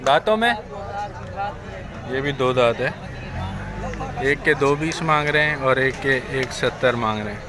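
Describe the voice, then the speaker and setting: A man vlogging at an outdoor cattle market, briskly asking sellers prices and repeating them back. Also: mid-range voice, crowd noise. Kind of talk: casual on-the-spot questioning. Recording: crowded outdoor market noise.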